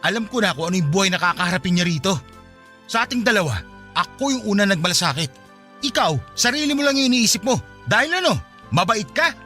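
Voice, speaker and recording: Radio drama role: cold, blaming husband with a secret, fast delivery, forceful bright voice. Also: male voice, high-pitched, very animated, faint music behind, full character voice. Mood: scolding, blaming. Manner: defensive, scolding, blaming. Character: callous married man coldly rejecting his former mistress. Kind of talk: Tagalog radio drama acting.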